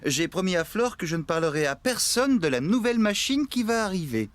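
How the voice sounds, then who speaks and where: A man's voice: high voice, sing-song, a little fast. A man voicing an evasive farmhand in a children's cartoon, hesitant and apologetic. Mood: flustered. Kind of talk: French children's cartoon dub.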